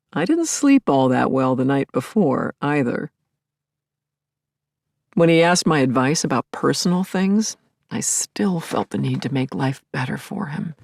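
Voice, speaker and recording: An actress playing a grieving, lovelorn middle-aged therapist in an intimate audio play monologue, confiding and wry, lingering on words and dropping to murmurs. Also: female voice, sits low, darkish tone, no room sound, clean studio sound, full character voice. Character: grieving, lovelorn woman therapist, self-aware and yearning. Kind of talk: intimate first-person dramatic monologue.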